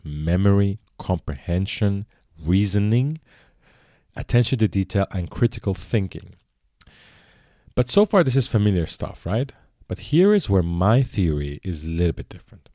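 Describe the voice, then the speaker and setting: A man's voice: deep voice, mellow, no room sound, studio quality. A man born in Iran and raised speaking Persian, hosting a solo podcast, explaining earnestly with frequent self-corrections. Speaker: podcast host.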